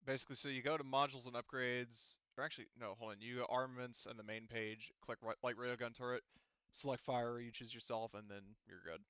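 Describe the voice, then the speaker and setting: A man with a smooth, clear voice runs a tabletop game as game master. His talk is casual and informal, and the close, dry recording sounds a little dull. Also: mid-range voice, steady tone, fast, fairly bright tone, no room sound.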